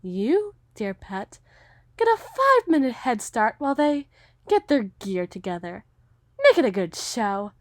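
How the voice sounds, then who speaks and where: A high, dramatically swooping female voice, recorded close and dry, for an audio roleplay. A woman acts out an aristocratic huntress who is condescending and possessive. Her phrasing is formal and theatrical, with heavy emphasis. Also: big pitch swings, a little slow, no echo. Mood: commanding, menacing.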